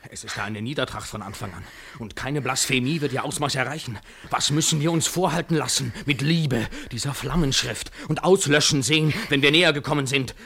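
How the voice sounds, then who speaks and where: A husky, obsessive, anguished lover. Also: male voice, medium pitch, sharp tone, exaggerated voice. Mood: desperate, raging. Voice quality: breathy, husky. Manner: intense poetic declamation, theatrical. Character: obsessive, anguished male lover, swinging from tender to desperate. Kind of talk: literary radio play monologue, poetic and impassioned.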